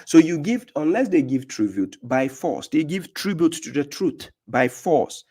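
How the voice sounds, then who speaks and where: A man's voice: on the high side, big pitch swings, unhurried, mellow, slightly rough. A man from Ghana with a Ghanaian accent, giving informal religious teaching as a preacher, emphatic, repeating himself and often checking that listeners follow. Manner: emphatic, repeats phrases, often asks "do you get my point?". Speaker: Islamic preacher.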